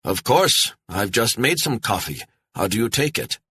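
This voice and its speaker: A man's voice: lowish voice, sing-song, moderate pace, bright, forceful tone, slightly rough, dry recording, studio quality, voice pushed up, exaggerated voice. A man voicing a friendly older retiree man in his sixties with an effusive, chatty manner. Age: 60s.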